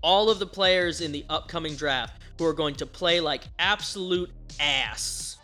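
Guy hosting a sports podcast, bright voice a bit high, rambles and jokes, faint music behind. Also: measured pace. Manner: rambling, jokey, self-correcting. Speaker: podcast host. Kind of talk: casual sports chat.

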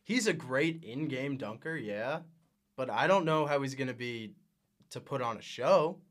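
A man's voice: mid-range voice, animated, measured pace. A young man giving emphatic, opinionated takes as a guest on a casual sports podcast.

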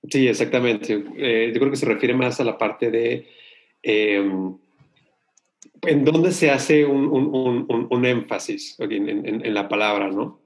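A man's voice: mid-range voice, takes it slowly. A man from Sonora, Mexico, co-hosting a casual chat, talking in a rambling way with many restarts. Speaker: podcast co-host.

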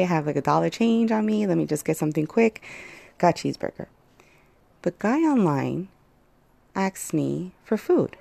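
A woman hosting a casual solo podcast, talking into her phone with a hoarse voice and many pauses and fillers. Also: low-pitched, mellow, no echo. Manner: rambling, hesitant, many fillers. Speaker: podcast host.

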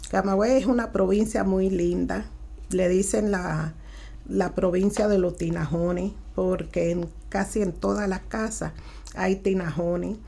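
A woman with a Cuban accent, answering interview questions as a guest in plain, unhurried sentences with pauses. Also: mid-range voice, steady tone, creaky, mellow, husky. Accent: Cuban Spanish. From Cuba.

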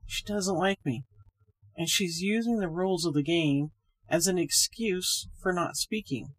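A woman's voice: fairly low pitch, unhurried, breathy edge, no room sound. A woman reading a scripted ghost story aloud as the narrator. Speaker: story reader, podcast host.

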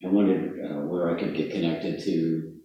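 A man's voice: sits low, steady tone, normal speed, dull audio. A man sharing in a group circle, plain and hesitant. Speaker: sangha member sharing. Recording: echoey hall from far off.

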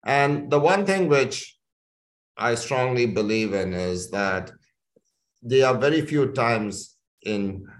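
A man's voice: medium pitch, animated, slow pace. A man from India speaking conversationally and enthusiastically as a chief executive being interviewed, often repeating phrases for emphasis. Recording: echoey room.

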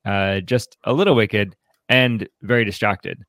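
Low-voiced man, halting and reflective. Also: steady tone, fairly bright tone, no echo, clean studio sound. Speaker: podcast co-host leading a book commentary. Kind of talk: conversational book commentary.

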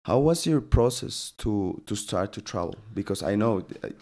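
A man hosting a language podcast in English, his second language, talking in a friendly, halting way with repeated words. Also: on the low side, expressive, mellow. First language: Spanish. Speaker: podcast host. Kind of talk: casual interview.

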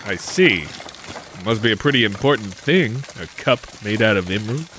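A man's voice: on the low side, expressive, moderate pace, pushed and bright, a little hiss or hum, rough recording. A man playing a wily, folksy adventurer who narrates his own schemes with old-time slang in a comedic 1940s-style radio play. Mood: coaxing.

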